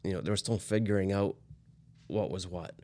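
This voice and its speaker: A man with a fairly low, gravelly voice hosts a casual music show. He explains things in a halting, conversational way, with plenty of fry in the voice. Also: mellow. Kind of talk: casual chat about vintage recordings.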